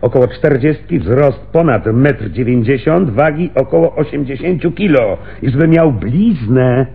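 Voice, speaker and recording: An actor playing a seasoned, wry and commanding police lieutenant in a Polish radio play. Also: male voice, mid-range voice, lively intonation, normal speed, vocal fry, slightly rough, phone-quality sound. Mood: ironic, animated.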